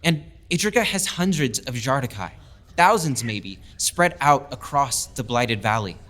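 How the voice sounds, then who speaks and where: A man's voice: mid-range voice, expressive, a little slow, a bit bright. An actor playing a passionate young lieutenant who speaks up for his people with earnest conviction. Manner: earnest, persuasive speech-making. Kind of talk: audio drama acting.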